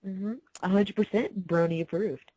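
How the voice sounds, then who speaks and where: A woman with a husky voice chatting casually as a co-reader after a story. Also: mid-range voice, steady tone, normal speed, warm tone. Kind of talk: casual chat.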